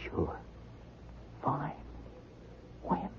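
An actor playing a colloquial, wisecracking, flirty hotel night clerk in a 1940s radio drama. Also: male voice, drawn-out words, in a whisper. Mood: flirty, eager. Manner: colloquial, easily flustered. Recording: tinny, band-limited vintage radio recording.

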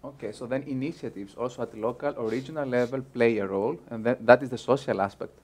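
A young adult man moderates a panel discussion, asking careful, probing questions. He speaks from a table in a bright room by large windows. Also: mid-range voice, fast talker.

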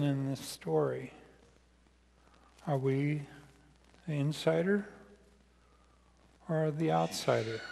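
An older American man delivers a sermon in a fairly high voice. He phrases deliberately and pauses often, as if reading from notes. His words ring with the long reverb of a church sanctuary. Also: darkish tone. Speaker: pastor preaching. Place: large church sanctuary, indoors, seated at the front. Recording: reverberant church hall sound.

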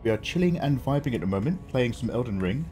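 A man with an English accent, chatting excitably on his live stream and swearing freely. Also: medium pitch, fast, soft background music. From England. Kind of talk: casual live-stream chat.

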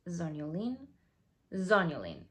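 A woman's voice: lowish voice, slow. A woman in her thirties from Venezuela with a Venezuelan Spanish accent, Spanish her first language, talking playfully to camera in a plain studio as a skincare founder. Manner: playful, animated, drops to asides and whispers. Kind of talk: scripted talk to camera. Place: plain studio backdrop, indoors.

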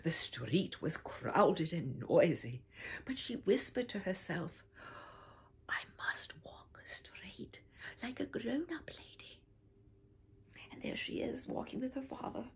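An older woman reading a children's picture book aloud with theatrical whispers and swooping pitch, talking warmly to young listeners as "my dears". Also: expressive, slow pace, vocal fry, husky. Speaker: storybook reader. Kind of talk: expressive read-aloud for children. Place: home room with bookshelf, indoors.

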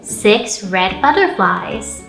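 A woman in her thirties hosting a children's storytime, reading aloud in an animated, encouraging way. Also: medium pitch, very slow, gravelly, roomy sound, soft background music. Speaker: children's librarian and storytime host. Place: indoor library storytime room.

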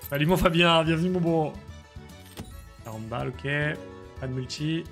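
A man, live-streaming slot games and chatting excitedly with viewers over a headset in a small room. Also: a little high, normal speed, faint music behind. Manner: excitable, slangy, chats with viewers. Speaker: casino streamer.

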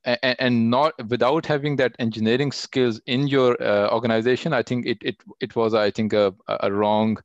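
A man's voice: medium pitch, steady tone, normal speed, hardly any pauses, no room sound, lo-fi sound. A man answering technical questions over a video call, talking with many fillers and restarts. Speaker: guest speaker.

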